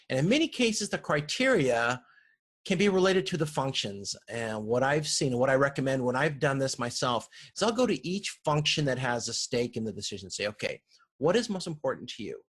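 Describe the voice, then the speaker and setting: Male lecturer, mid-pitched and somewhat husky, informal explanatory slide talk with halting, self-correcting phrasing. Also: fairly bright tone. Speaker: university lecturer.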